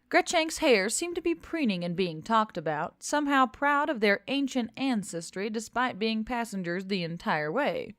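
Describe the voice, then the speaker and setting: A woman reading scripted fiction as an expressive story narrator. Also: medium pitch, no room sound, studio quality. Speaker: audiobook narrator.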